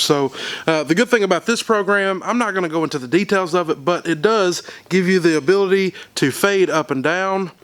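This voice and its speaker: A man with a fairly high-pitched voice talks through his sound setup in a loose how-to vlog. He sounds congested and stuffed up, and he rambles with plenty of uh and um fillers. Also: fairly bright tone. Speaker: DJ and vlogger. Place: at an event venue beside a PA speaker.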